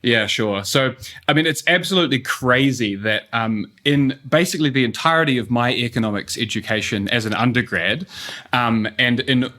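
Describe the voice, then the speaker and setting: A man's voice: medium pitch, normal speed, sharp tone. New Zealand man, um-filled explainer. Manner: thoughtful, with frequent ums and uhs. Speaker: guest, urban economist. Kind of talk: casual interview answers.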